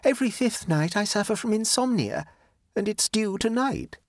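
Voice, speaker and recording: A man's voice: high-pitched, big pitch swings, moderate pace, no echo, studio quality, voice pushed up. A man voicing a touchy, self-pitying young society woman in a storybook, with a plaintive, genteel and defensive manner. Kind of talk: audiobook character dialogue.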